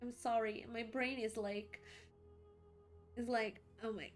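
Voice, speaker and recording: A woman chatting casually as she streams a video game, expressive, exclamatory and rambling. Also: a little high, slow. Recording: faint game music behind.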